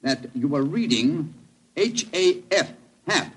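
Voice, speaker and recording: An actor playing a brilliant, superior British gentleman detective, crisp and commanding, in a 1940s style radio drama. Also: on the high side, animated, slow, heavily acted. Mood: excited deduction. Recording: noisy old radio recording.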